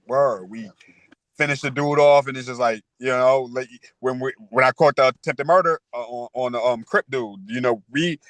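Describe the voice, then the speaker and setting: A man in his thirties talks frankly as a podcast guest, peppering his speech with street slang and fillers. His voice sits at an everyday pitch. The recording is close and dry, with no room sound. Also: moderate pace, fairly bright tone, dry recording. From New York. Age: 30s. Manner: street slang, frequent "you know" and "word". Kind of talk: candid interview talk.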